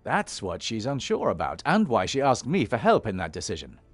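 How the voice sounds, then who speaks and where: A steady heroic warrior, mid-pitched and expressive, recorded close and dry with soft music behind. He sounds earnest and firm, in fantasy game style. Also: no echo. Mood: calm, firm. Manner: measured, earnest. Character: calm, firm male heroic warrior and peacemaker.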